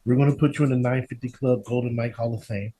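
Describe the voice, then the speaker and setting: A man's voice: mid-range voice, steady tone, quick pace, dark tone, slightly rough, low-quality recording. A man casually interviewing a guest as an informal, encouraging podcast host.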